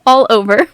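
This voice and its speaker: Woman game master narrating casually, kinda high voice, dry close recording, chatty improv style. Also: a bit bright, no room sound.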